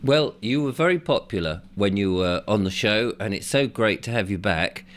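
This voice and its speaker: A man hosting an interview, croaky from a sore throat, chatting with loose rambling asides. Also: on the low side, normal speed. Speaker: host.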